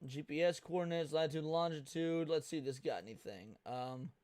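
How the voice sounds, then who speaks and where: A young American man giving casual livestream commentary, with slangy, profane, excitable talk. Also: fairly high pitch, brisk, dry recording. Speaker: livestream host reacting to a video.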